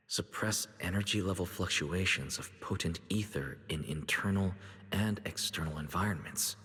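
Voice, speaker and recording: Low male voice, very breathy, pensive young game hero, hushed, musing to himself. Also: full character voice. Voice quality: husky, breathy. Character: quiet, thoughtful young man musing to himself. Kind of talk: game cutscene inner monologue. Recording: long reverb, faint music behind.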